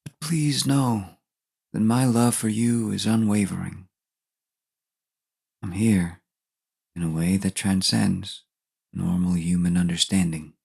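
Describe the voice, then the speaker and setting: Man acting a gravelly, slow, tender ghostly lover. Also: deep voice, darkish tone, full character voice. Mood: tender, reassuring. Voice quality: husky, gravelly. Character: tender, mysterious dead lover writing from beyond. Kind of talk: audio drama acting.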